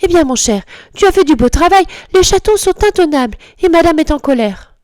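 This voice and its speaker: Posh mama cat scolding, woman doing the voice, high and prim. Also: expressive, a little fast, a little mellow, no echo, clean studio sound. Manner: prim, chiding. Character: elegant female mother cat, gently scolding.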